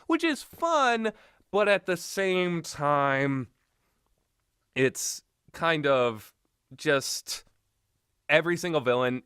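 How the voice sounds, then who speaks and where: A man's voice: medium pitch, a bit bright, smooth, no room sound. Rambling, opinionated man in a dry recording. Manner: hesitant, backtracking, opinionated, mildly profane. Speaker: video game reviewer. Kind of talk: casual unscripted rambling commentary.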